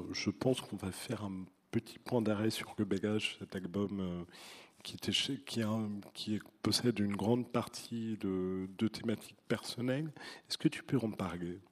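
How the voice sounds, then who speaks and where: A man's voice: fairly low pitch, measured pace, a little mellow, long reverb tail. A man interviewing a comic book artist, asking long, searching questions with fillers.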